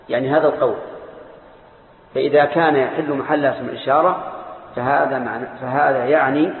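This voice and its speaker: A man teaching a classical grammar lesson, parsing each word slowly and deliberately. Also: fairly high pitch. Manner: slow, deliberate parsing, drawing out key words. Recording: echoey hall over a tinny lo-fi line.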